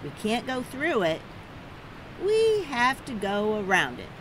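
A woman reads a picture book aloud to children as a storyteller. Her voice sits fairly high and swoops in a very lively way. She takes the rhythmic lines at a slow pace, theatrically, inviting listeners to join in. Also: noisy background.

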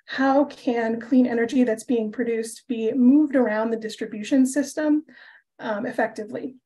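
Woman, fairly high mellow voice, organized slide presentation. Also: steady tone, normal speed, rough recording. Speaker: panelist, utility representative.

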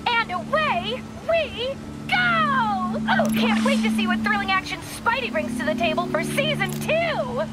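A woman's voice: very high voice, very animated, measured pace, full character voice. A woman playing a young, taunting livestreamer villain in a video game, perky and theatrical like an overexcited internet host. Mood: gleeful hype. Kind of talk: exaggerated streamer hype in a video game. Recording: loud city game noise behind.

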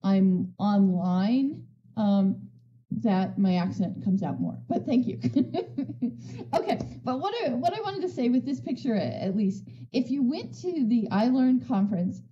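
A woman speaking on a conference panel in a casual, excitable way, restarting her sentences often and laughing. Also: a little high, a little slow, highs cut off. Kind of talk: conversational panel talk. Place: virtual world session via voice chat.

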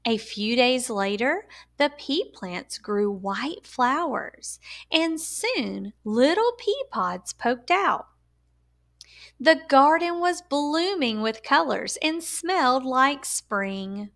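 A woman reads a children's story aloud in a high voice. Her intonation swings widely and expressively. She goes slowly and deliberately, giving words emphasis for young listeners. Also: big pitch swings, a bit bright. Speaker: storyteller reading a picture book aloud.

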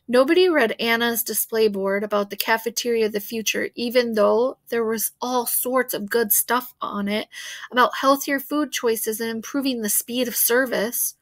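A woman's voice: fairly high pitch, a bit bright. A woman reading a children's book aloud expressively as a storyteller. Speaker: read-aloud reader.

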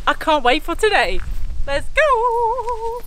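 A woman's voice: very high-pitched, sing-song, slow, background noise. A young woman vlogging a trip to a handheld camera, bubbly and gushing. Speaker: travel vlogger. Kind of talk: casual travel vlog to camera. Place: on the move, trains, restaurants and outdoors. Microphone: handheld camera at arm's length. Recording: background music under voice.

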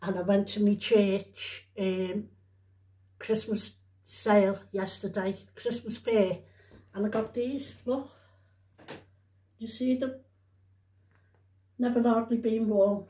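Woman, soft-edged mellow tone, casual rambling vlog chat, repetitive, roomy indoor sound. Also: mid-range voice, steady tone, slow, long pauses. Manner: chatty, repetitive, with trailing tag questions. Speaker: vlogger showing shopping haul. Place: indoors at home. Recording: roomy, echoey home recording.

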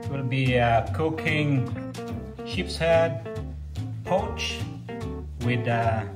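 A middle-aged man calmly walking through his fishing tackle and a recipe, talking matter-of-factly with frequent pauses. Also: medium pitch. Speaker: fishing channel host. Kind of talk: casual fishing and cooking vlog narration. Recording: voice-over with clear guitar music behind.

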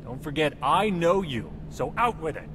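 An actor playing a guarded, gruffly direct middle-aged warrior in a fantasy game. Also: male voice, on the high side, animated, moderate pace, background noise, natural voice. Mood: wary, impatient. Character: wary, blunt middle-aged armored warrior, old friend. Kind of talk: scripted fantasy game dialogue.

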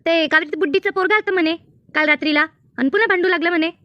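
A woman's voice: very high-pitched, rapid-fire, lo-fi sound. A woman voicing an obliging, talkative village friend in a comedy cartoon, chatty and assured, with a Maharashtrian accent. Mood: gossipy. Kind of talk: Marathi comedy cartoon dialogue.

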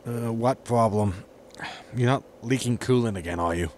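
An actor playing a grumpy, money-minded spaceship captain, impatient and sardonic, in a sci-fi comedy audio drama. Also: male voice, mid-range voice, normal speed, a little mellow, slight background noise, natural voice. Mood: puzzled, impatient.

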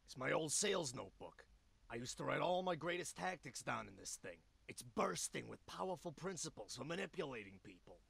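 A man voicing a scheming, foul-mouthed elderly rabbit ex-salesman with a gravelly voice in a cartoon video game. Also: a little high, lively intonation, fairly quick, a bit bright, heavily acted. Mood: boastful.

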